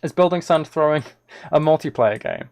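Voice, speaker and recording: A man's voice: on the high side, animated, normal speed, creaky, a little mellow. A man chatting casually on a gaming livestream, rambling and thinking aloud as he plays. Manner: rambling, musing to himself while playing. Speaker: live streamer.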